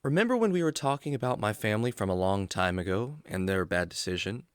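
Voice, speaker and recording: A man playing a kindly, patient adventurer who explains things gently and earnestly to his animal buddy in a children's radio drama. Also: on the low side, animated, fast, smooth, dry recording, studio quality, lightly acted. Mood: explanatory.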